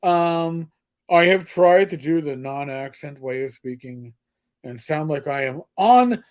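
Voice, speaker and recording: A man's voice: fairly high pitch, animated, a little slow. A middle-aged man with a strong New York accent chats casually on a livestream. He rambles, stops and starts, and fills the gaps with "um" and "uh" as he thinks aloud. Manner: rambling, stop-start with many fillers and restarts. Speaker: podcast co-host.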